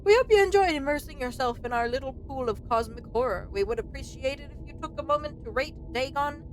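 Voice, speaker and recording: High-voiced woman streaming a game. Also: brisk, a little hiss or hum, poor audio. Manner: expressive, exclamatory reactions. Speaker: streamer, let's play host. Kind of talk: casual game commentary with reading aloud. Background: faint game music.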